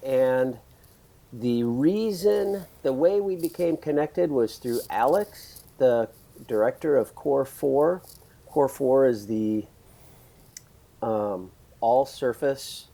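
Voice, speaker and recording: A man hosting a podcast in a casual, rambling interview chat, his voice raspy from screaming at a concert. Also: mid-range voice, mellow. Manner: chatty, rambling, laughs often. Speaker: podcast host.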